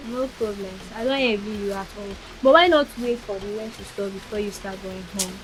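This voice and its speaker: A woman's voice: mid-range voice, brisk, noisy background, close to an everyday voice. A woman playing a teasing Nigerian city friend. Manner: playful teasing. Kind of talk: Nollywood-style drama skit.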